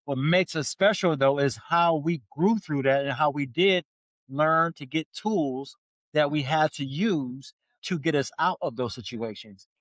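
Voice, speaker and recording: A man's voice: fairly high pitch, a little slow, fairly bright tone, no echo, poor audio. Emphatic man in his forties, close and dry. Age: 40s. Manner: emphatic, repetitive, stammers on restarts. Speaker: podcast co-host. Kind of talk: casual motivational chat.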